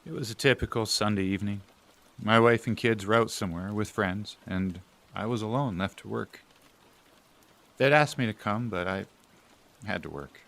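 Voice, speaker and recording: Audio drama role: male actor as overworked, bitter suburban father, sardonic, somewhat low pitch, rain in background. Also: normal speed, creaky, fairly bright tone. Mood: weary, resentful. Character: bitter, overworked family man resentful of his brother. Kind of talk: audio drama acting, dialogue and narration to the audience.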